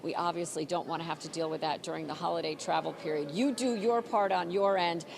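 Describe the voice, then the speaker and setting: A woman's voice: lowish voice, fairly even intonation, brisk, creaky, background noise. A woman working as a TV news reporter, delivering a live report in a conversational, emphatic way.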